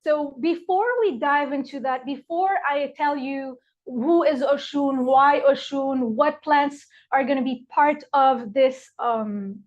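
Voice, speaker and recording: High-voiced woman on a dull video call. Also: slow pace, hardly any pauses. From Morocco. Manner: warm, heartfelt, with frequent fillers. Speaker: herbalist workshop presenter. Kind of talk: live online workshop talk. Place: her apothecary shop.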